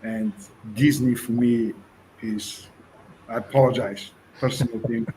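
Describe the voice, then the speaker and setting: A man's voice: mid-range voice, fairly even intonation, a little slow, mellow, rough recording. Man from Bulgaria, earnest panelist, faint noise under the line. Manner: earnest, apologetic, hesitant. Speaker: panel participant. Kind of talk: discussion on a web forum call.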